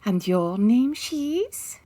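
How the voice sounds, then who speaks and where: An actress playing a sultry, melodramatic French temptress who speaks broken English with dramatic pauses in a comic radio drama. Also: female voice, on the high side, animated, mellow. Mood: seductive, mournful. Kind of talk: comedic old-time radio detective acting.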